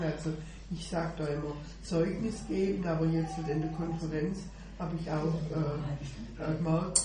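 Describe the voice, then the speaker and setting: A woman sharing at a church meeting, hesitant, with many fillers. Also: deep voice. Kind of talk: informal devotional sharing. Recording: echoey room mic from far off with background noise.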